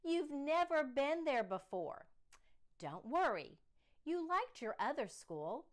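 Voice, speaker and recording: A patient, coaxing storybook father, as a woman reads him aloud. He talks in a lively, up-and-down way with a slow, careful pace, gentle but ready to turn firm. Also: high voice, voice pushed up, full character voice. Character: gently firm father, middle-aged man.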